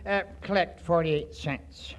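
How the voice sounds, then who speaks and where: Slow, high-voiced doddering old messenger. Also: male voice. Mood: meek, puzzled. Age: older. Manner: hesitant, mumbling. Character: timid doddering old telegram messenger man. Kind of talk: 1940s radio comedy acting. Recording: noisy old radio recording with clicks.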